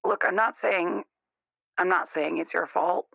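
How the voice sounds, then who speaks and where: A man's voice: high-pitched, a little slow, gravelly, no room sound, full character voice. A man playing a defensive, conformist young caller, insistent and rambling, in an improvised radio call-in drama. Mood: frustrated, upset. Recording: band-limited, muffled phone-in radio sound.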